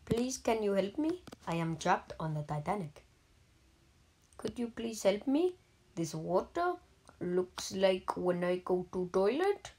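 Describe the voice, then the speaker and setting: A gaming YouTuber with an Indian accent, giving deadpan, halting comedic commentary over mobile gameplay. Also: female voice, mid-range voice, slight background noise, rough recording. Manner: deadpan, halting, joking persona.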